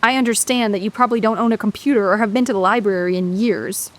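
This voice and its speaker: A woman's voice: mid-range voice, steady tone, fast, natural voice. Actress as a dry, defiant female researcher. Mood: dry, sarcastic. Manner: dry, sarcastic. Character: sharp-witted, defiant female historical researcher. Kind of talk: audio drama acting.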